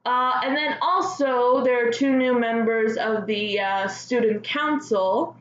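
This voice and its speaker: A woman's voice: fairly high pitch, takes it slowly, reverberant, dull audio. A woman hosting casual fan news commentary, speaking as a gushing enthusiast with hesitant, filler-dotted delivery. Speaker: host of a fan news show.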